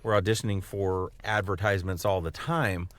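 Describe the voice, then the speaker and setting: Male podcast co-host, lowish pitch, conversational, halting delivery with repeated words. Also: fairly even intonation. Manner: halting, repeats words while forming questions. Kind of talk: casual chat, interviewing.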